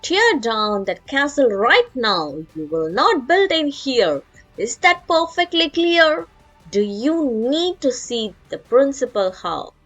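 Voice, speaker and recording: A stern storybook schoolteacher, acted out by a woman, exasperated and emphatic. Her pitch swings widely as she scolds in slow, clipped phrases, with music playing behind her. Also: very animated, a bit bright, exaggerated voice. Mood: scolding. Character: stern, exasperated woman teacher who hates buildings.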